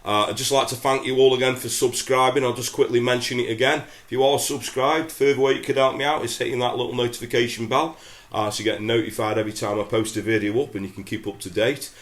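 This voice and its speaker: English guitar tutor, middle-aged, stuffed-up nasal voice, relaxed and rambling, mid pitch. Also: male voice, fast talker. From England. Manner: chatty, relaxed, many fillers. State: head cold, nasal. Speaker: guitar teacher. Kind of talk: casual guitar lesson with singing. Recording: roomy sound with loud acoustic guitar.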